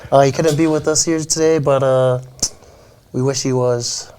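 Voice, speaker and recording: A man appearing as a guest dancer on a talk show, introducing himself in a relaxed, friendly way. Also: medium pitch, moderate pace, a little hiss or hum. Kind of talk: casual interview.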